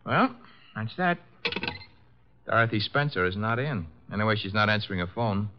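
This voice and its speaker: A flippant male detective's sidekick in an old radio mystery. His delivery is very animated, swinging up and down, with a casual sardonic edge, on a muffled vintage recording. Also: fairly low pitch, normal speed. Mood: skeptical. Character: wisecracking, cheeky male private detective's assistant. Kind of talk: 1950s radio drama acting.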